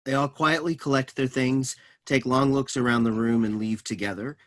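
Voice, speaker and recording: A man's voice: mid-range voice, fairly even intonation, moderate pace. A man reading stage directions as scripted narration for a play reading.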